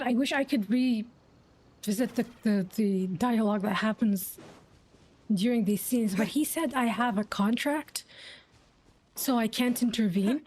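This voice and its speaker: A woman's voice: fairly high pitch, a little mellow, slightly rough, low-quality recording. A woman game streamer giving casual, sweary reaction commentary, thinking aloud as she plays.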